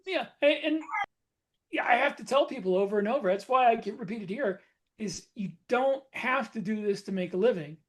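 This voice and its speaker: Middle-aged man co-host, relaxed and rambling, casual chat. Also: high voice, normal speed. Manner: relaxed, rambling, self-correcting. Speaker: podcast co-host, author.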